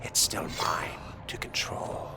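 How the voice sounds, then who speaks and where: A man's voice: slow pace, in a whisper, close to an everyday voice. A man playing an arrogant, power-hungry young vampire, speaking in formal, brooding, theatrical monologue for a game role-play. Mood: menacing, commanding. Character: menacing power-hungry fledgling male vampire, coldly arrogant. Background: loud music.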